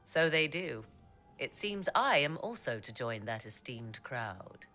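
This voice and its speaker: A woman's voice: extremely low pitch, big pitch swings, slow pace, pushed and bright, soft background music, heavily acted. A woman playing a haughty, sly, enigmatic court sorceress in a video game, with arch, theatrical, archaic formal diction. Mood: dry, disdainful. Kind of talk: dramatic game dialogue.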